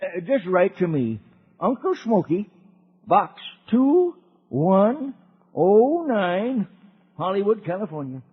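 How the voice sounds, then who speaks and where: An American man playing a folksy old cowboy uncle, telling a radio serial to children in a rustic drawl with a husky voice. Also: sits high, very animated, takes it slowly, full character voice. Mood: folksy, friendly. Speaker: narrator. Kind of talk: 1950s radio serial storytelling. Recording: dull old radio recording.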